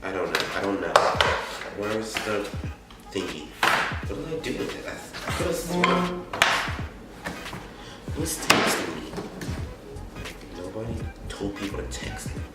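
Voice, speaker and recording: A sick teenage boy with a scratchy throat, talking in a deep, slow ramble. He sits far from the camera in a very echoey room. Music plays clearly behind him. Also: animated. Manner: chatty, rambling, full of likes and you-knows. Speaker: vlogger. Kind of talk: rambling casual unboxing chat. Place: indoor room. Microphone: camera set up some distance away.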